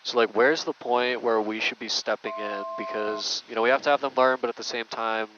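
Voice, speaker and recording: Even, low-pitched man in muffled noisy audio. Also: fairly quick. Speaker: flight instructor, interviewer. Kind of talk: casual conversational interview.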